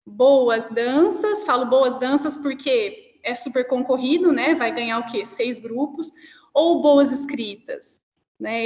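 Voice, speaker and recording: A woman's voice: sits high, a little mellow, reverberant, long reverb tail. A woman with a countryside São Paulo accent, a cultural producer asking a question on a video call, hesitant and thinking aloud with frequent tag questions. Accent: São Paulo countryside (caipira) Brazilian Portuguese. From interior of São Paulo state, Brazil. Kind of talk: online panel question.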